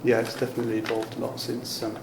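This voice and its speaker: A man's voice: medium pitch, monotone, fast, vocal fry. A man conducting a casual interview with tentative, loosely phrased questions and an agreeable manner. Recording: echoey room with background noise.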